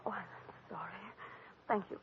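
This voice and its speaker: An actress playing a cultivated, troubled young wife in a 1940s radio detective drama, speaking politely and hesitantly. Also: female voice, normal speed, slight background noise, natural voice. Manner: genteel, hesitant, apologetic. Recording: old radio, tinny narrow band.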